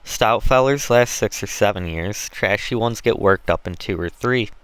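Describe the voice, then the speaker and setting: A man's voice: lowish voice, moderate pace, a bit bright, clear, resonant tone, no echo, close to an everyday voice. A man voicing a coarse, boastful Southern slave owner in an audiobook, with blunt, folksy dialect. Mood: callous, matter-of-fact.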